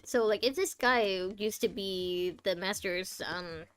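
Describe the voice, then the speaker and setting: A woman's voice: medium pitch, measured pace, smooth. A woman streaming a game, chatting casually and thinking aloud in a rambling way. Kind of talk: casual chatty playthrough commentary.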